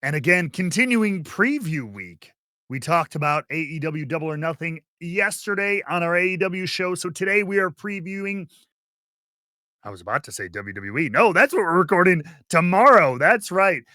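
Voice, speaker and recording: Bright, punchy male podcast host. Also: high-pitched, animated, moderate pace, no echo, clean studio sound. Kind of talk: animated show introduction and wrestling preview.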